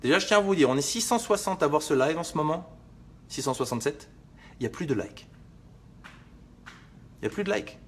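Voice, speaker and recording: A young man chats to his livestream viewers in a joking, rambling way, swearing casually as he shows how he cuts lemons. His voice sits a little high for a man. Faint clicking and noise sit behind him. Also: expressive, fairly quick, pauses often. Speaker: fitness livestream host. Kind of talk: casual live cooking demo.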